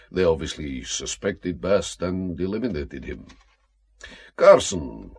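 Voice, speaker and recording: An actor playing a suave, worldly Russian KGB colonel in a radio spy drama, urbane and deliberate with dry amusement. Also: male voice, deep voice, a little mellow, breathy edge, full character voice. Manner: urbane, deliberate, drily amused, formal phrasing.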